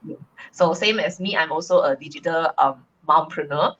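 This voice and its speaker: A woman from Malaysia co-hosting a casual live stream, talking in a relaxed, reflective way with many fillers. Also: deep voice, moderate pace, highs cut off. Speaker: co-host.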